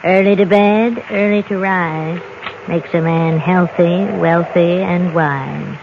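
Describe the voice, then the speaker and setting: A woman's voice: on the low side, fairly even intonation, slow pace. An actress playing a stern, middle-aged frontier farm mother who bosses her household curtly, in old-time radio western style. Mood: brisk. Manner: curt, bossy. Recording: dull, noisy old radio recording.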